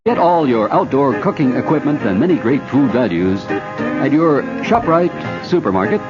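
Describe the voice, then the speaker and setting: Animated American man over loud ad music. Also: medium pitch, normal speed. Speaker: commercial announcer. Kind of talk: scripted supermarket radio ad read. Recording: dull old radio recording.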